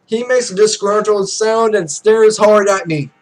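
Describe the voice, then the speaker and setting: A high-pitched male let's player narrates a visual novel out loud and drops in loose, sweary asides of his own. Game music plays behind his voice. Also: measured pace, poor audio. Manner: sweary, loose off-script reactions. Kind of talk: reading visual novel aloud with casual asides.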